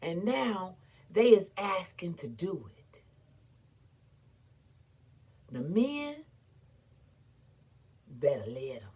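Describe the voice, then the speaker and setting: A woman giving a dramatic, theatrical recitation as an impassioned, defiant, weary but proud orator, with emphatic repetition and long pauses. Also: fairly low pitch, sing-song, dark tone, breathy edge. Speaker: performer reciting a historical speech.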